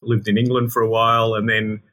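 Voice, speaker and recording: A middle-aged man with an Australian accent, answering interview questions in a casual, halting way and often repeating words while he thinks. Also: fairly low pitch, completely flat delivery, a bit bright. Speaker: guest scientist.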